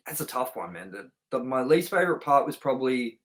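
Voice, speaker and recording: A man's voice: mid-range voice, very animated, brisk. A man co-hosting a film review show, chatting casually and enthusiastically with informal, sweary language.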